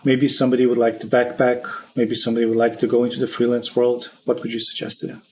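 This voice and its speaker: A man's voice: mid-range voice, completely flat delivery, fast, a little mellow, rough recording. A man hosting a podcast, chatting casually in an encouraging, enthusiastic way. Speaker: podcast host. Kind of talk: casual chat.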